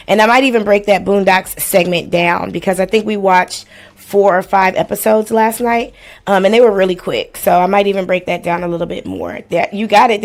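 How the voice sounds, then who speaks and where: A woman in her forties with a mid-range voice hosts a casual livestream. She talks straight to her viewers in loose, slangy speech. Her manner is blunt and playful, with swearing dropped in freely. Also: medium pitch. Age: 40s. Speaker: livestream host. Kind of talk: casual livestream chat with house rules and shout-outs.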